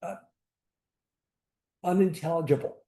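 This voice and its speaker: An older man telling personal stories on a community panel, halting and restarting, sprinkling in Yiddish words. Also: on the high side, warm tone. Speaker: synagogue founder on a panel. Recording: echoey room.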